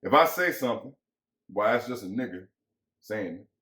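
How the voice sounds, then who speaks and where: A man's voice: fairly low pitch, animated, normal speed, sharp tone. A man acting as bitter, lonely American ranch hand, echoey. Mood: bitter, reflective. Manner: folksy rural vernacular, musing and pressing. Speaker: actor. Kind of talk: dramatic reading of a novel. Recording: echoey room.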